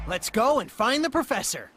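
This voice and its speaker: A man's voice: extremely high pitch, exaggerated voice. A man voicing a confident young hedgehog hero in a video game cutscene, with a breezy delivery.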